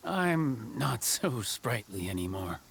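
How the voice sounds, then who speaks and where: A man voicing an elderly, weary, grumbling village carpenter with a creaky voice in a video game. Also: medium pitch, big pitch swings, slow, fairly bright tone, exaggerated voice. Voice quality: creaky, aged.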